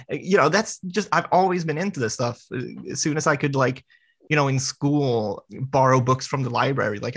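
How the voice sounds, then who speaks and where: Bright-voiced Swedish man, eager and halting. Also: mid-range voice. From Sweden. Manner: halting, with many restarts and fillers. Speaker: podcast guest. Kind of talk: casual podcast interview.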